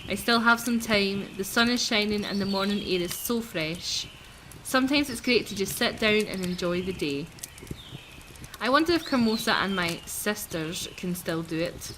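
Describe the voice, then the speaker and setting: A woman voicing a wistful, lonely young servant heroine in a fairy-tale game, with a musing, expressive delivery. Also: medium pitch, normal speed, lightly acted. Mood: weary, resentful. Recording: noisy, game birdsong behind the voice.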